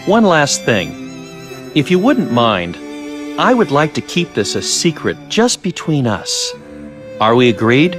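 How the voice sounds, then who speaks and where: An actor playing a calm, scheming research director in a video game, with measured, condescending politeness and slyly menacing manner. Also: male voice, medium pitch, music in the background. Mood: sly, composed. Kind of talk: English video game voice acting.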